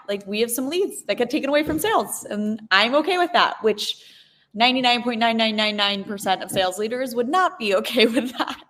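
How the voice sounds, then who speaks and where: A woman's voice: medium pitch, a bit bright. Informal, enthusiastic woman interviewer. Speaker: interviewer hosting an online business discussion. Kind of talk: conversational interview.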